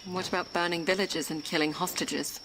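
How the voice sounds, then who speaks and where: A woman's voice: lowish voice, steady tone, moderate pace, noisy background, lightly acted. A woman voicing a headstrong, curious young princess in an audio adventure game. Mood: earnest, challenging. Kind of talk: dramatic audio game acting.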